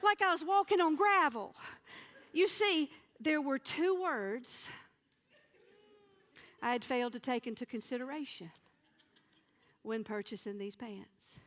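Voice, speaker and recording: A woman with a Southern US accent telling comic stories on stage in a folksy, dramatic manner with pauses for effect. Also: sits high, a bit bright. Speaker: comedian and storyteller. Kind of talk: theatrical comic storytelling to an audience. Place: theater stage.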